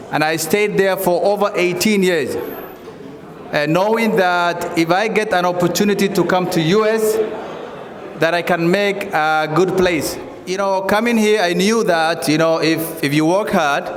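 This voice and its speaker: A man from Somalia, giving a campaign speech to a crowd and putting questions to the audience. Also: fairly high pitch. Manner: addresses the audience directly, asks for raised hands. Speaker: former candidate speaking at a campaign event. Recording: echoey hall, long reverb.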